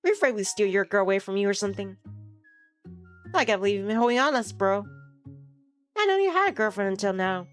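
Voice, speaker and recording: A woman's voice: mid-range voice, animated, fast talker, pauses often, faint music behind, lightly acted. A woman voicing a laid-back, teasing male student footballer in a visual novel, talking in slangy, ribbing banter.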